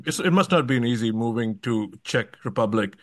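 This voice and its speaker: A man's voice: medium pitch, animated, fairly quick, no room sound, clean studio sound. A man hosting a casual podcast interview, digressing and qualifying himself as he works toward his questions. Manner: rambling, digressive, self-qualifying.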